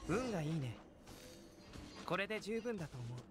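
A man playing a calm, courteous young alchemist in an anime game, speaking in a measured, gentle, analytical way. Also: high voice. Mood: calm, explanatory. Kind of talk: scripted anime game dialogue. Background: game music.

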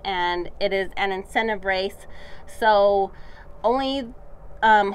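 A woman's voice: medium pitch, fairly bright tone, smooth. A middle-aged woman talking casually to viewers in a vlog, plain-spoken and informal, recorded on a handheld camera. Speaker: horse trainer and barrel racer hosting a ranch vlog. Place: changing vlog spots: fields, parking lot, vehicle, tack room. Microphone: handheld camera or phone at arm's length.

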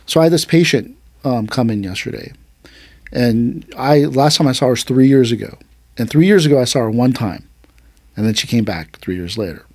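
Casual male podcast host, mid pitch, rambling anecdotal delivery, dry close sound. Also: expressive, a little fast, a little mellow, slightly rough, dry recording. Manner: loose storytelling with many fillers, acts out quotes. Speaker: aikido teacher and podcast host.

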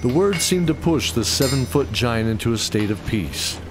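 A man's voice: mid-range voice, lively intonation, moderate pace, heavy background noise. A man reading scripted dramatic narration as the narrator of a fantasy story.

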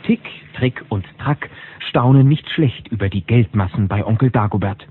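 A man's voice: lowish voice, moderate pace, slightly rough, narrow, tinny audio. A man narrating a German children's audio drama as a wry, knowing storyteller. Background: music.

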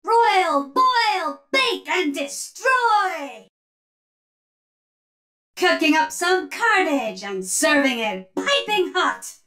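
A woman's voice: very high voice, very animated, extremely slow, sharp tone, full character voice. A woman playing a feisty, sassy robot oven mom who bakes and fights, delivering theatrical punning one-liners for a video game. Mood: gleefully menacing. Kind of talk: video game character voice lines.

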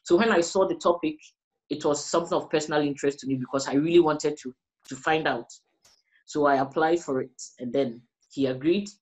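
A middle-aged woman from Ghana with a West African accent, explaining her research as an interviewee in measured phrases with pauses. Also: sits low, a little mellow, poor audio. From Ghana, also grew up in the Gambia. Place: small indoor room, plain wall.

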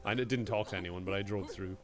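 A man's voice: quick pace, over music. A young Irish man streaming a game from home on a headset, joking and laughing a lot as he chats with a friend. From Ireland. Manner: giggly, joking, lively swings in pitch. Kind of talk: casual gaming chat.

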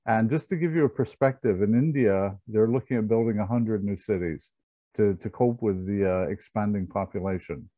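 A man in his sixties giving an informal slide presentation over a video call, speaking in a halting, reflective way. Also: lowish voice, dark tone, no echo. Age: 60s. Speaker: company chairman, presenter. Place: online video conference. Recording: dull, lo-fi video call audio.

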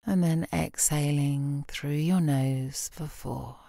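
British woman, meditation guide, very slow delivery, dark mellow tone, soft, calm, soothing, long pauses. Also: deep voice, gravelly, dry recording, clean studio sound. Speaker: yoga nidra meditation guide.

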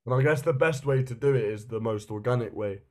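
A man's voice: mid-range voice, fast talker, darkish tone. A young man hosting a casual interview over a video call from his bedroom, laid-back and slangy. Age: young adult. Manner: laid-back, slangy, says "you know what I mean". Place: bedroom indoors. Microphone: webcam video call.

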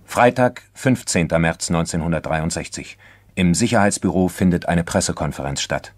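A grave male chronicler narrates a radio crime drama. His voice is on the low side and measured. The sound is dry, without room. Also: no echo. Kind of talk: scripted documentary narration.

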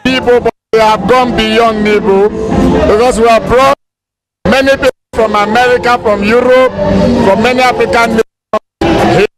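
High-pitched man over loud crowd. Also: fairly even intonation, normal speed, low-quality recording. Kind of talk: interview statement. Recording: loud music and crowd behind.

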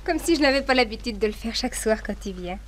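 A woman playing a naive young woman in love in a radio drama, speaking softly. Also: fairly high pitch, animated, fast talker, a little hiss or hum, natural voice. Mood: tender.

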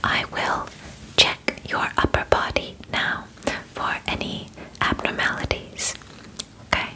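A female alien examiner acted out by a woman in her twenties speaks in a soft whisper, very slowly and deliberately. She is calm and clinical, giving formal instructions up close in the manner of an ASMR roleplay. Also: natural voice, low-quality recording. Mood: calm, methodical. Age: 20s. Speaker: ASMR performer. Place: bedroom, indoors. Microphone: close microphone.

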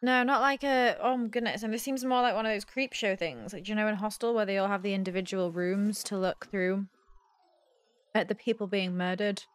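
A woman's voice: medium pitch, steady tone, brisk. A young woman gives casual let's play commentary, thinking aloud and questioning herself in puzzlement. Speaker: gaming video host. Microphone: close desk mic with headset on.

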